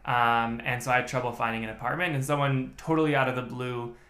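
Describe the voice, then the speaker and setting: A man's voice: medium pitch, fairly even intonation, fast, fairly bright tone. A man, a podcast guest telling his story in a methodical way with many restarts. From United States. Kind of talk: casual interview chat. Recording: echoey room.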